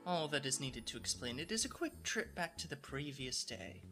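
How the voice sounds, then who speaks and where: Woman as a storyteller narrating a comic adventure, lively delivery, music playing underneath. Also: extremely low pitch, moderate pace, exaggerated voice. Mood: measured. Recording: music behind the voice.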